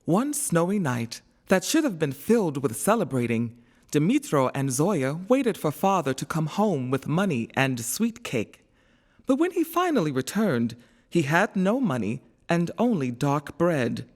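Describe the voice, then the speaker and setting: A woman narrating a scripted children's storybook in an expressive, measured storytelling manner. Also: low-pitched.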